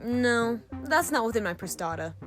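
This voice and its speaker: A woman's voice: on the high side, animated, normal speed, natural voice. A woman playing a blunt, mischievous space crewmate in an audio drama, teasing and flippant.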